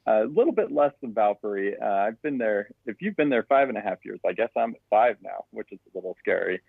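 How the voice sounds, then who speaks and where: A man's voice: fairly low pitch, lively intonation, fast talker, gravelly, husky, no echo. A man from Utah, an education director, chatting casually and friendly in an interview, laughing easily. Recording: tinny phone-like dry audio.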